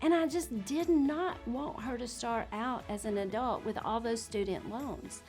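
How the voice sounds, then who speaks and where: A woman's voice: fairly high pitch, unhurried, a little mellow, soft background music. A woman in her late forties, a real estate agent, telling her story in a chatty, candid way. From Georgia, USA. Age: 40s. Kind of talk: casual talk to camera.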